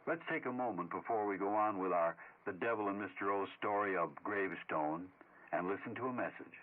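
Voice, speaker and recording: A man's voice: on the low side, a little fast. A man working as a radio announcer, reading a scripted program announcement. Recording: narrow, tinny old radio broadcast.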